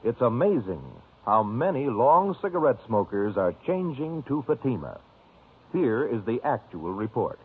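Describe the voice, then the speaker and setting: A man's voice: medium pitch, sing-song, a little slow, slightly rough. A man reading a 1950s radio advertisement in an emphatic, salesmanlike way. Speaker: commercial pitchman. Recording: tinny vintage radio recording.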